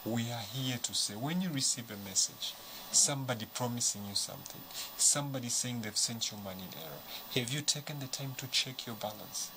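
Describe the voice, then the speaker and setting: A man's voice: medium pitch, a little fast, noisy background. A man, a studio guest, giving earnest advice. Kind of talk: studio discussion.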